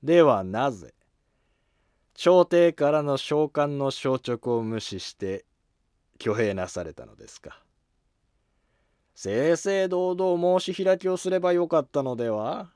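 Man playing a veteran army general, historical drama, composed, taunting, slow and weighty, music behind. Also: a little high, animated, darkish tone, smooth, no echo, clean studio sound, pitched above the performer's own voice. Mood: calm, reproving. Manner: slow, weighty, theatrical delivery.

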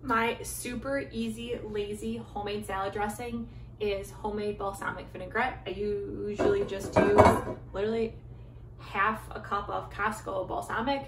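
A woman's voice: mid-range voice, distant mic. An American woman in her thirties chatting informally as she gives a casual home cooking demonstration. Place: home kitchen, some parts in a backyard garden. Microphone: phone or camera at arm's length. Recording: echoey kitchen, clear background noise.

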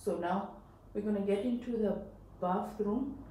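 A woman's voice: medium pitch, unhurried, noisy background. Chatty Namibian woman in an echoey room. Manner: rambling and chatty, talks to viewers as she works. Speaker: vlogger. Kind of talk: casual home vlog chat. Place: tiled bathroom at home. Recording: distant, very echoey home room.

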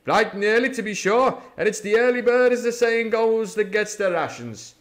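A man voicing a jovial, sly old one-legged pirate cook with loud, drawn-out, hearty speech. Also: high-pitched, expressive, voice pushed up. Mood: jovial hailing.

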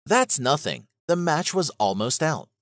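A man voicing a stubborn, easily annoyed young bear in an animated, defensive children's storybook style. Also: a little high, unhurried, no room sound, voice pushed up. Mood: dismissive.

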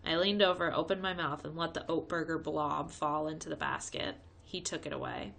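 A woman reads a chapter book aloud for children. Her voice is bright and a little low for a woman, and her delivery is expressive, shaped like storytelling. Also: moderate pace, vocal fry. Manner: expressive reading.

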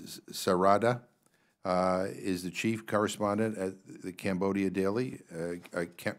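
A man in his sixties with a Massachusetts accent, chairing a congressional hearing and reading out panel introductions with many pauses and stumbles over names, into a desk microphone in a large hearing room. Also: deep voice. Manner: halting, filler-heavy reading, stumbles on names.

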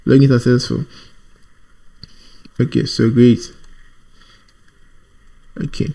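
A man presenting an informal programming screencast, thinking aloud in a halting, repetitive way as he types. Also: mid-range voice, little pitch movement, gravelly, dark tone. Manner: thinking aloud while typing, halting, repeats himself. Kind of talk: informal screencast coding tutorial.

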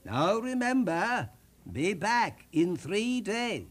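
Guy doing a pompous little storybook king, high voice, big swoopy declaiming, very commanding. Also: very animated, slow pace, full character voice. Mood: commanding, grand. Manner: grand, emphatic, declamatory. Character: fat little king, commanding and grandly proclaiming.

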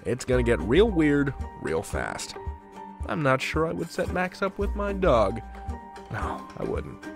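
A man's voice: mid-range voice, wildly swooping pitch, unhurried, creaky, soft background music. A man hosting a podcast, moving between playful scripted announcements and interview questions. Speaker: podcast host.

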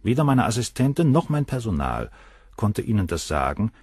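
A deep-voiced defensive professor. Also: male voice, big pitch swings, moderate pace, a little mellow, slightly rough, no echo, studio quality, full character voice. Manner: precise, argumentative self-defence. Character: defensive middle-aged male professor. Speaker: professor and doctor.

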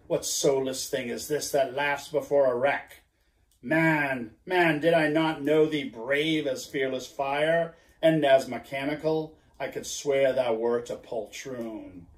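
A grim old sea captain, obsessed and commanding, hurls his archaic orders and declarations in a slow, heavy cadence, as a man puts him on for a storybook reading. The voice rings with a roomy echo around it. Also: mid-range voice, close to an everyday voice. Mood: scornful.

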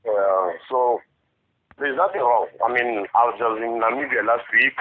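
A South African man in a casual phone chat, husky, switching between English and Zulu. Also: mid-range voice, moderate pace, slight background noise. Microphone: telephone line. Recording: muffled tinny phone-call recording.

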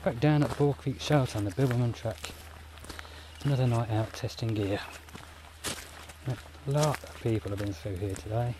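Relaxed Australian man outdoors. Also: medium pitch, moderate pace, noisy background. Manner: relaxed, chatty, rambling with fillers. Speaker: outdoor gear vlogger. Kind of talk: casual vlog narration. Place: outdoors in bushland at a trail shelter. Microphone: action camera on the body or nearby. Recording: outdoor camera audio with clear bush ambience.

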